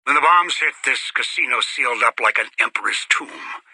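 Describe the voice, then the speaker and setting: Bitter old video game villain, husky and forceful, contemptuous, commanding, scheming, dry close sound. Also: male voice, mid-range voice, normal speed, dry recording, low-quality recording. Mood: condescending, instructing. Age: older. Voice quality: raspy, breathy. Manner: contemptuous, commanding, muttering to himself. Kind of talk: video game character voice acting.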